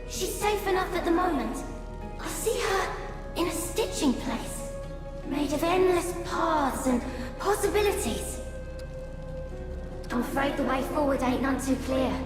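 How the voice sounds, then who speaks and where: A woman's voice: high voice, animated. An actress playing a serene, folksy female oracle in a game, talking in a homespun, rustic way. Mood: serene, kindly. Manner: slow, homespun, rustic phrasing. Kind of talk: game cutscene acting. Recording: echoey hall reverb, loud music behind.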